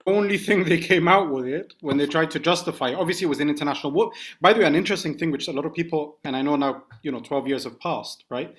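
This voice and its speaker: A man's voice: on the high side, fast talker, few pauses, a bit bright. A man, a journalist guest on an interview podcast, recounting events in careful detail. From United Kingdom.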